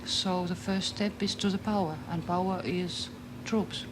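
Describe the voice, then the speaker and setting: A woman doctor giving a documentary interview, speaking slowly and haltingly in a second language. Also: fairly low pitch, fairly even intonation. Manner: slow, halting, searching for words in a second language. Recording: noisy, roomy old documentary film sound.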